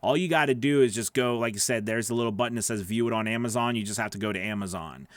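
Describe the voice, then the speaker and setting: A man's voice: fairly low pitch, fast, dry recording. A man in his thirties with a nasal voice, chatting casually through a live Q&A from his home studio, repeating words and reading viewer questions aloud. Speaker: voice actor hosting a live Q&A. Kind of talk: casual livestream Q&A. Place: small home studio, indoors. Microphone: large studio microphone on boom arm.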